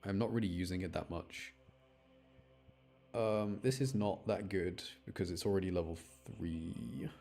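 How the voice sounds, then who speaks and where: A man's voice: low-pitched, measured pace, creaky, mellow, faint music behind. A man doing casual live game commentary for his chat, rambling and thinking aloud as he plays. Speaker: game streamer.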